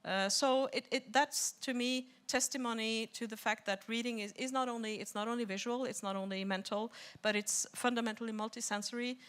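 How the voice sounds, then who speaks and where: A woman's voice: on the high side, fairly even intonation, brisk, few pauses, a bit bright, clean tone. A woman researcher speaking off the cuff as an expert on a panel, repeating words as she reasons aloud. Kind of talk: spontaneous expert panel discussion.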